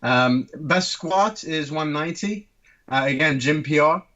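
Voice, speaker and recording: A man's voice: on the high side, unhurried, bright, forceful tone. Hesitant man in his thirties, dull audio. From Turkey. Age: 30s. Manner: hesitant, lots of ums and uhs, explanatory. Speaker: guest orthopaedic surgeon. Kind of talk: casual podcast interview.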